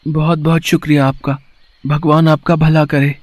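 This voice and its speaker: A man's voice: fairly high pitch, level tone, moderate pace, a little mellow, dry recording, natural voice. A man voicing a humble, good-hearted poor working boy in a cartoon story, polite and earnest. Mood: humble, pleading. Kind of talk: acted cartoon story dialogue.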